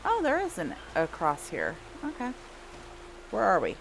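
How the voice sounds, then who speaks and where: A woman's voice: mid-range voice, wildly swooping pitch, measured pace, vocal fry, slight background noise, rough recording. A woman giving casual gameplay commentary, rambling and thinking aloud with the odd mild swear. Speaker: gameplay streamer. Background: video game music and sounds.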